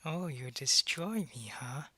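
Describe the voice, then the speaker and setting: A man playing a suave, possessive, centuries-old vampire in an ASMR roleplay, taunting and condescending, often in a breathy half-whisper. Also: on the high side, lively intonation, pushed and bright, dry recording, full character voice. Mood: taunting, smug. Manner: slow, deliberate, taunting, condescending.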